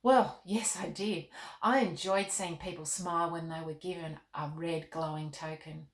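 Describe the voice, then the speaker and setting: A woman reading the part of an earnest, questioning nine-year-old girl in a children's storybook, with an expressive manner. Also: deep voice, a little slow, voice pushed down. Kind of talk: storybook read-aloud. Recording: roomy, echoing indoor sound.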